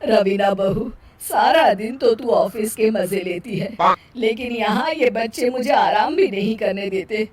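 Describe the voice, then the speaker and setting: Woman acting weary, grumbling older mother-in-law, fairly high voice. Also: unhurried, lightly acted. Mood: scolding. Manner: complaining, sardonic, plain-spoken. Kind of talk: cartoon moral story acting.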